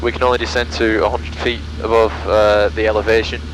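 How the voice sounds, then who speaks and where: A man's voice: fairly low pitch, little pitch movement, brisk, noisy background. A man narrating his flight casually as he pilots a small plane, talking on a headset mic. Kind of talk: casual in-cockpit narration while flying. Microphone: aviation headset mic. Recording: loud engine drone in small plane cockpit.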